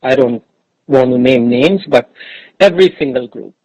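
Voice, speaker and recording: A man's voice: mid-range voice, moderate pace, darkish tone, dry recording, low-quality recording. A man, a school board trustee, introducing himself at a board meeting in an earnest, hesitant way.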